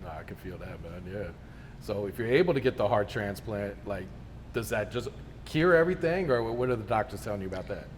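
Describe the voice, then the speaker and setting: A man's voice: lowish voice, lively intonation, a little fast, in a large hall, slight background noise. A man interviewing a guest, with brief and supportive prompts. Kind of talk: conversational interview questions.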